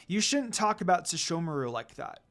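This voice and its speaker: A reader plays a young woman in a fantasy story, outspoken and firm. Her delivery is kind underneath but easily exasperated, with a lively, changeable pitch. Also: moderate pace.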